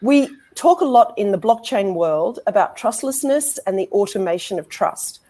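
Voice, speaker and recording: Middle-aged Australian woman, conference speaker, articulate and measured with frequent ums, earbud mic on a video call. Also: mid-range voice, lively intonation, warm tone. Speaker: law lecturer presenting. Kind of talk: conference presentation. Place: indoor home office with bookshelves. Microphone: wired earbuds on a video call.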